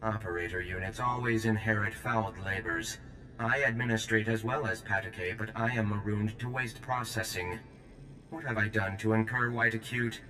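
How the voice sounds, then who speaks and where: A man's voice: lowish voice, fairly even intonation, normal speed, sharp tone, roomy sound, slight background noise, rough recording. A male actor playing an earnest, aggrieved alien maintenance worker in a video game, speaking in stilted, formal, oddly worded phrases. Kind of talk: video game character acting.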